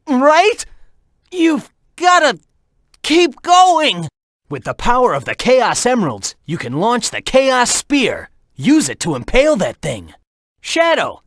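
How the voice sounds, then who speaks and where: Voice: high voice, a bit bright, no echo, studio quality, full character voice. An actor voicing a cocky, upbeat young male hedgehog hero in a video game, brash and playful, calling out with urgency. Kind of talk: animated video game voice acting.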